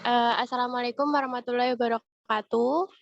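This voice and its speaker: A woman's voice: fairly high pitch, steady tone, brisk, creaky. A woman with a cold gives an informal, conversational training presentation over an online meeting, often checking in with her listeners. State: has a cold and cough. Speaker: webinar presenter and retail trainer. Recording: muffled, dull online-meeting audio.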